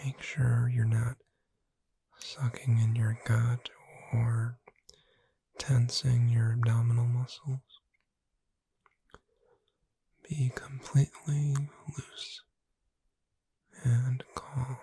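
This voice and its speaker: Woman as a gentle witch bookseller whispering you to sleep, breathy n slow, really close dry asmr. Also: extremely low pitch, little pitch movement, no echo, exaggerated voice. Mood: calm, soothing. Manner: slow, soothing, deliberate. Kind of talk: soft-spoken ASMR sleep roleplay, guided relaxation.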